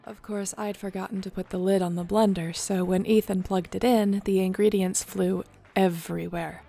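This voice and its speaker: A young shapeshifter woman played by a voice actress leads her clan with warmth. Her voice sits mid-range with a creaky edge, and she chats sincerely and casually, as in an audio roleplay, with faint music underneath. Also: medium pitch, fairly even intonation, normal speed. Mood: amused, reminiscing. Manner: casual, sincere, conversational storytelling. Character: warm young female shapeshifter, newly made clan leader.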